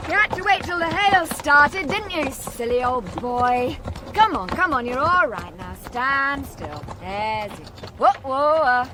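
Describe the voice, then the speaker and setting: A woman playing a brisk, outspoken farmer's wife in a radio drama. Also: sits high, animated, noisy background. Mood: flustered, urging.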